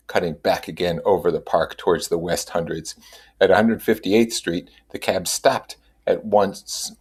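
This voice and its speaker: A man's voice: low voice, darkish tone, breathy edge. A man reading a novel aloud as narrator, adding his own comments. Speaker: reader and commentator.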